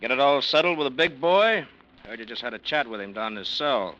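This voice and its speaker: Hard-nosed detective, needling and blunt, very bright cutting tone, played by a man, faint noise behind. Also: medium pitch, brisk, muffled recording, lightly acted. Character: tough, blunt male police detective. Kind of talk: 1940s radio acting.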